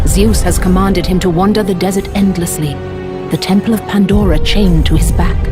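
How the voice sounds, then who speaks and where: A woman's voice: lowish voice, lightly acted. Grave, commanding goddess played by a woman. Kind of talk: video game cutscene acting. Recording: music behind the voice.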